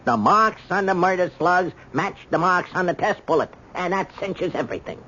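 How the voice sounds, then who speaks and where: An actor playing a stubborn, impatient ballistics man with a husky voice, emphatic and dismissive, in a radio drama. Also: high voice, expressive, moderate pace, pushed and bright, heavily acted. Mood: impatient, insistent. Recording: dull lo-fi old radio recording.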